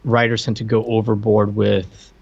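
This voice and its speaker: A man co-hosting a podcast, giving opinions in informal, halting chat as he thinks aloud. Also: on the low side, level tone, creaky, a little mellow, breathy edge. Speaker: podcast co-host. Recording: dull, band-limited lo-fi voice track.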